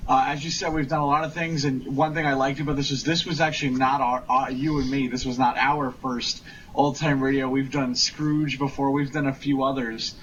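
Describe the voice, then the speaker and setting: A man of average pitch chats casually as a guest performer. His voice sits away from the mic on a dull remote line, with faint noise in the recording. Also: little pitch movement, brisk, a bit bright. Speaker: guest performer and sound effects provider. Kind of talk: casual interview chat. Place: remote live call. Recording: distant, dull, faintly noisy call.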